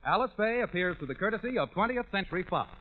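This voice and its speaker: A man's voice: sits high, lively intonation, quick pace. A male radio announcer reading scripted commercials in 1940s style, with emphatic, polished salesman's enunciation. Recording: tinny, narrow-band 1940s radio recording.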